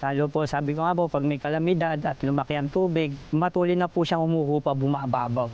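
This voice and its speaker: A man's voice: fairly high pitch, steady tone, quick pace, a little mellow, muffled recording. A Filipino man giving an earnest testimonial as a resident of a riverside community. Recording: music under the voice. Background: background music.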